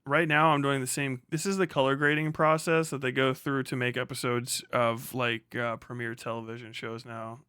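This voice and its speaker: A man's voice: mid-range voice, level tone, normal speed, hardly any pauses, sharp tone, smooth, no echo, clean studio sound. A man hosting a livestream, chatting casually and off the cuff, muttering to himself and swearing freely. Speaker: livestream host. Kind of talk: casual unscripted livestream chat.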